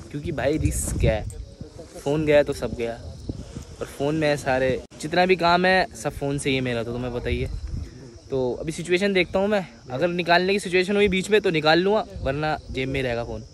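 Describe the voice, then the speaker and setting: High-pitched young man vlogging. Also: a little fast. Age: 20s. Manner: slangy, repeats phrases. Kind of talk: casual travel vlog chat. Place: outdoors on hillsides and in a car. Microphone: phone at arm's length. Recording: noisy outdoor phone recording with vehicle sounds.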